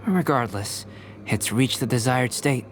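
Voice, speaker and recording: A man voicing a composed, scholarly young alchemist in an anime game dub, speaking in a measured, formal, analytical way. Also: mid-range voice, background noise, close to an everyday voice. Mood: calm.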